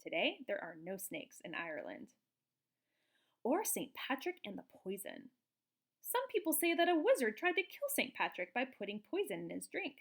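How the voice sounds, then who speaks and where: Lively, high-pitched woman reading to children. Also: moderate pace. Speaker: children's storybook reader, teacher. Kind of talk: picture book read-aloud for young children.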